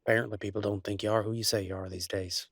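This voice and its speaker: A man playing an easygoing, affectionate country werewolf boyfriend, talking in a folksy, halting way in an intimate roleplay audio. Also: lowish voice, little pitch movement, a bit bright, no room sound, studio quality. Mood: hesitant, uneasy. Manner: folksy, drawling slang, halting asides.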